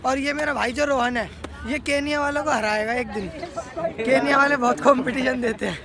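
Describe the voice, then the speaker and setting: A man's voice: very high-pitched, brisk. A young man vlogging at an outdoor stadium track with his phone, chatting casually and upbeat to his viewers. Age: young adult. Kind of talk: casual vlog commentary. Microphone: phone camera at arm's length. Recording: outdoor phone audio with crowd noise.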